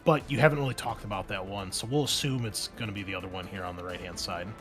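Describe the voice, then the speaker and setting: A man's voice: fairly low pitch, quick pace, fairly bright tone, faint music behind. A man narrating a tabletop roleplaying game as game master, conversational and improvising rulings as he goes.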